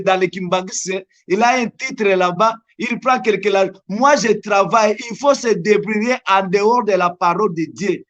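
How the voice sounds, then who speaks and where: A high-voiced man with a Congolese French accent, Lingala his first language, speaking as a guest evangelist. He preaches emphatically, leaning hard on scripture lines and slowing down for stress. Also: a bit bright. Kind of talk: preaching on a TV talk show.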